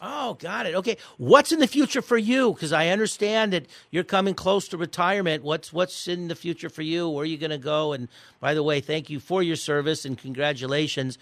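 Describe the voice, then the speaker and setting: Male radio host, slightly high voice, dry studio sound, talks in halting starts and restarts. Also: a bit bright, no room sound. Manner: chatty, halting, with many restarts and fillers. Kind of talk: casual radio interview and sign-off.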